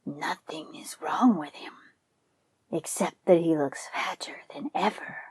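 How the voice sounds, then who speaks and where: A woman's voice: low voice, a little slow, a little mellow, no echo, exaggerated voice. Woman as a whispering, menacing hungry tiger. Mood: gloating, hungry. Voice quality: whispery, breathy growl. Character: menacing, hungry, boastful tiger, snarling and sly.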